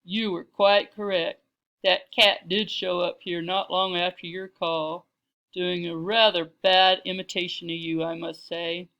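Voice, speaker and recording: A woman voicing a dignified female mastiff in a storybook, deep, refined and measured. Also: flat delivery, fairly bright tone, muffled recording. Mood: composed. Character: cultured, dignified female mastiff lady dog.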